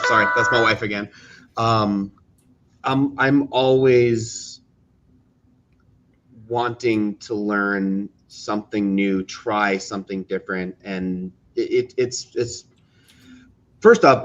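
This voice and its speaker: Lowish voiced guy, hesitant casual interview answers, kinda muffled lo-fi sound. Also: a bit bright. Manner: halting, hesitant. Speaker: game developer and art teacher being interviewed. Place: classroom.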